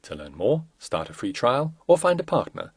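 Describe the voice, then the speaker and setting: A man reading scripted corporate narration for a product demo in a measured, instructional way. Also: medium pitch, very animated, slightly rough, no echo, studio quality.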